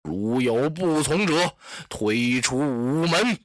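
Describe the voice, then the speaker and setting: Brutal chancellor, middle-aged man, slow deliberate commands, put on by a storyteller, dry close sound. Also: medium pitch, animated, no room sound, voice pushed down. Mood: menacing. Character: menacing tyrannical male chancellor, middle-aged.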